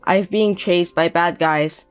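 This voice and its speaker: A woman voicing a 57-year-old indebted deadbeat father who pleads and pities himself, speaking slowly. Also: fairly low pitch, fairly even intonation, smooth, no echo, faint music behind. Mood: pleading. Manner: pleading, self-pitying, slow.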